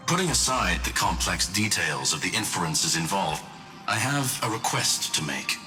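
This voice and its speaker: A composed robot scholar in a video game, speaks in a low register over prominent music. His diction is formal and exact, and he is courteous and measured throughout. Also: heavily acted. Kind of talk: scripted game dialogue.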